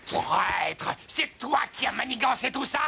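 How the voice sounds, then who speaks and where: An actor voicing a vain, pompous talking crow in a cartoon, raspy and cawing, theatrical and easily offended, with music playing. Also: medium pitch, expressive, a little slow, heavily acted. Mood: outraged. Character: vain, self-important talking crow who loves singing. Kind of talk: animated cartoon acting. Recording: loud music under the voice.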